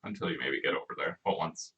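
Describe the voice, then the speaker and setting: A game master narrating a tabletop role-playing game in a halting, conversational way. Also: extremely low pitch, fairly bright tone, highs cut off. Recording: distant microphone.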